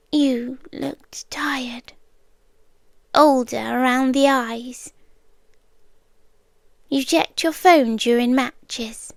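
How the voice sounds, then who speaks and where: A woman plays an immortal cosmic yandere with a British accent and a highish female voice. She speaks very slowly and softly, often slipping into whispers, tender yet possessive. It is an intimate ASMR roleplay, recorded close and dry. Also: no room sound. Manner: soft, deliberate, often dropping into whispers. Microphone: close microphone.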